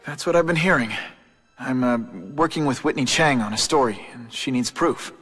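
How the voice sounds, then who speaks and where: An American man playing an earnest, troubled young superhero in a video game, with a breathy, hesitant and sincere delivery. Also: medium pitch, moderate pace, fairly bright tone, soft background music. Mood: pensive, determined. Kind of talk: video game cutscene acting.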